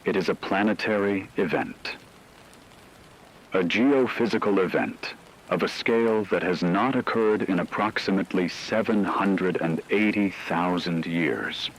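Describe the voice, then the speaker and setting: A man playing a grave, formal radio newscaster delivering a measured, scripted emergency broadcast in a radio drama. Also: low voice, low-quality recording. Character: grave male radio newscaster announcing planetary catastrophe. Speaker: broadcast announcer.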